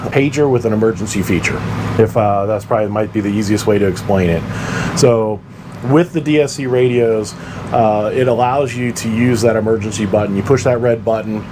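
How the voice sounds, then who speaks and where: A man's voice: fairly low pitch, a little fast, background noise. A man giving an informal, practical explainer in a plain-spoken way, as the host of a buyer's guide. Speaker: boating gear reviewer and host.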